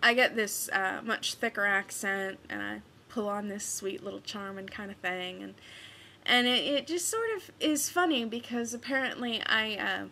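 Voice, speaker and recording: A woman's voice: medium pitch, moderate pace, vocal fry, bright, forceful tone, a little hiss or hum. Chatty young woman from Kansas. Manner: chatty with hesitant fillers. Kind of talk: casual voice blog, reading a book aloud.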